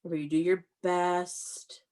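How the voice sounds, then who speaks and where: A woman's voice: on the low side, flat delivery, slow, darkish tone. A woman working as an elementary school teacher, leading an interactive lesson for young children with encouraging praise and step-by-step instructions.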